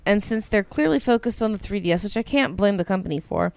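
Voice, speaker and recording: Casting note: woman co-host, medium pitch, chatty and excitable, swears, reads email on a studio mic. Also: fast, creaky, no echo. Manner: gushing, swears freely, many ums. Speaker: podcast co-host. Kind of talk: casual chat, reading listener emails aloud.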